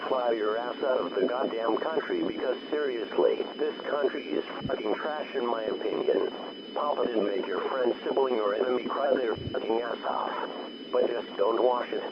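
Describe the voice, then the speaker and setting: A man's voice: mid-range voice, moderate pace, over a noisy crowd. A man reading a scripted emergency alert as an announcer. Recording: muffled alert broadcast with loud noise.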